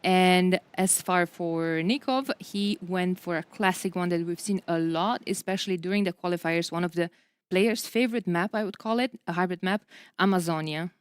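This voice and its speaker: A woman's voice: lowish voice, normal speed, clean tone, dry recording. A woman co-commentating a live esports tournament, speaking English as a non-native language. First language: not English.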